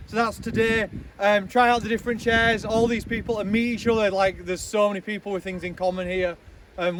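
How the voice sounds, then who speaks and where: A young man giving a loud, chatty speech outdoors at a charity launch, with many ums and joking asides. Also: high-pitched. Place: outdoors in the snow with a crowd. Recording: noisy outdoor recording.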